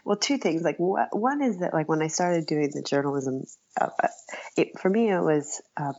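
A woman with a low voice and plenty of vocal fry answers interview questions in a casual way. She sounds tentative and self-effacing, often searching for the right phrase. The audio is dry and somewhat muffled. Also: expressive, moderate pace, darkish tone, no room sound. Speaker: interview guest, writer and author.

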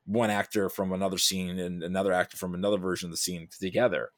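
A man talking casually about films as a podcast guest, thinking aloud in a halting, rambling way. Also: low-pitched, level tone, dry recording, clean studio sound. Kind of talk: casual film discussion.

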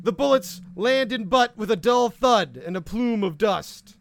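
A man narrating a noir story in the second person as game master, with a wry, deadpan delivery. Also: high-pitched, unhurried.

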